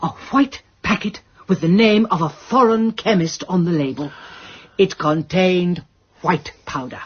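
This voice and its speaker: A woman's voice: lowish voice, a little slow, husky. An actress playing a prim, discreet, efficient English lady assistant in a radio drama, speaking precisely and politely. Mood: discreet, eager. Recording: dull, muffled radio recording.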